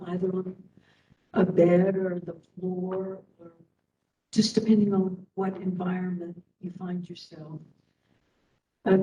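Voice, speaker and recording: A woman instructor leading a guided meditation in a soothing manner, speaking in short phrases with long pauses. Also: warm tone. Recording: roomy, distant mic, faint rumbling noise.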